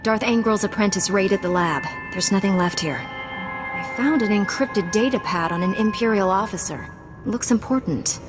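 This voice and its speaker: An actress playing a calm, firm young Jedi knight in a video game, measured and resolute. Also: female voice, medium pitch, music in the background, natural voice. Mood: composed, grave. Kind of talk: video game cutscene acting.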